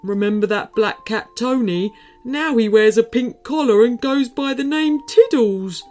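A woman voicing a sleepy, lazy male street cat who drawls slowly. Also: fairly high pitch, a little mellow, faint music behind, lightly acted. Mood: drowsy. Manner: slow, drowsy drawl.

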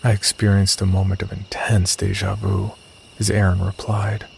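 A man playing the uneasy, cautious narrator of a scripted horror story, telling it in a gravelly voice. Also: deep voice, completely flat delivery, moderate pace, slight background noise. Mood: tense, measured. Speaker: first-person narrator and lead character.

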